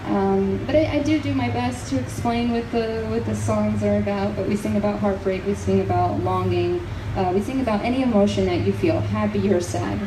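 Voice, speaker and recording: A young woman from New Jersey who grew up speaking Portuguese talks earnestly about her music, pausing with fillers as she reflects. She sounds set back from the mic, with a roomy echo. Festival noise carries clearly behind her. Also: mid-range voice. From New Jersey, United States. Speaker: fado singer, interview guest. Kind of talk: casual interview. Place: outdoor festival. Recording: echoey festival crowd noise from far off.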